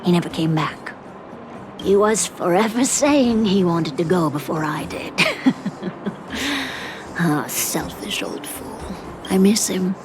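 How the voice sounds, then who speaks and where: Stubborn old widow, game character, slow n weary, grumbly, music under it. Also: female voice, fairly low pitch. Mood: wistful, weary. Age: older. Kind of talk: video game voice acting.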